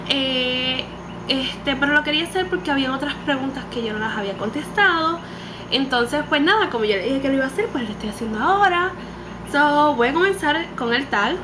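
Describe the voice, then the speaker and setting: Puerto rican girl, 19, chatty webcam vlog, high voice, lots of background hiss. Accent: Puerto Rican Spanish. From Puerto Rico. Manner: bubbly, animated, mixes English words into her Spanish. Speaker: beauty vlogger. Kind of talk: casual chat to camera. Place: small room indoors. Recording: home webcam recording with background noise.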